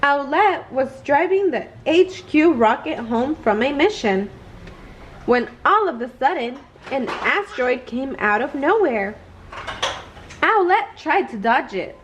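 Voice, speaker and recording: A woman's voice: sits high, big pitch swings, a little slow. A woman reading a children's bedtime story aloud, expressively and carefully. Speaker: storybook reader.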